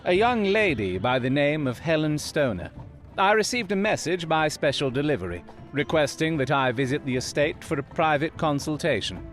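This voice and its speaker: Brilliant proud victorian detective, very animated and theatrical, formal wordy gent, audio drama. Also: male voice, a little high, normal speed, faint music behind. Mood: brisk.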